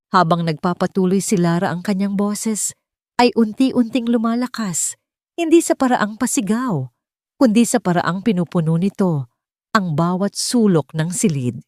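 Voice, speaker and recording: A woman's voice: fairly low pitch, darkish tone, dry recording, studio quality. A woman narrating a scripted dramatic story in a solemn, sentimental manner.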